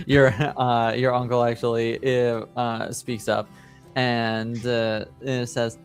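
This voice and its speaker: A man improvising narration as a tabletop game master, halting as he searches for words. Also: medium pitch, level tone, faint music behind.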